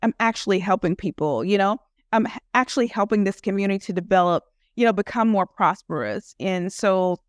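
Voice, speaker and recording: A woman's voice: medium pitch, brisk, smooth, no echo, studio quality. A woman guest in a casual interview who talks earnestly about her work, rambling and filling her speech with "you know". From United States. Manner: earnest, rambling with many fillers. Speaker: guest entrepreneur.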